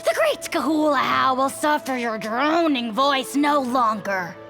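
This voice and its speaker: Creaky, high-pitched pompous little game creature. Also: female voice, big pitch swings, a little slow, a bit bright, heavily acted. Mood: scornful. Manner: pompous, imperious, grandiose insults. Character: tiny arrogant bombastic ancient creature, self-proclaimed great lord. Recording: game music behind the voice.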